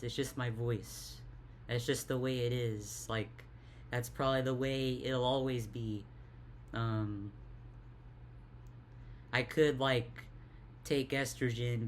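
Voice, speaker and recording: A young woman with a deep voice talks in a tired, halting way, stuttering and repeating words in a casual confessional vlog. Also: slight background noise. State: tired, worn out. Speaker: vlogger.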